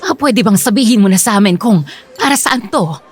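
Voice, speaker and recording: A woman playing a petulant, impatient young sister with a husky voice and a whining manner, in a Filipino radio drama. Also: medium pitch, lively intonation, brisk. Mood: annoyed, impatient.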